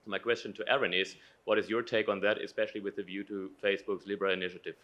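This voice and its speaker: A man's voice: fairly low pitch, flat delivery, fast talker, fairly bright tone. A man from Germany, formally putting a question to a panel from the audience.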